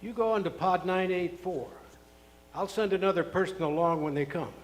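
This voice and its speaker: Man acting a high-pitched curt launch pad official. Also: normal speed, close to an everyday voice. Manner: curt, matter-of-fact. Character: gruff male launch pad official directing passengers. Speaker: radio theatre actor. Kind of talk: live stage radio drama acting.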